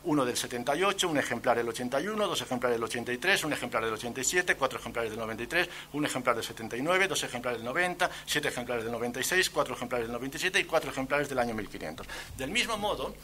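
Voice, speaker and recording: Bright-voiced Spanish man lecturing wryly. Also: mid-range voice, animated, very fast, slight background noise. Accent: Spanish from Spain. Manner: wry, ironic asides. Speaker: guest speaker, antiquarian bookseller. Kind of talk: conference lecture. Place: lecture event at a university.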